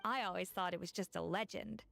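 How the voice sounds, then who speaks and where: A woman voicing an inquisitive, matter-of-fact young female chef in a video game, crisp and analytical. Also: on the low side, steady tone, a little fast, music in the background. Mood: thoughtful.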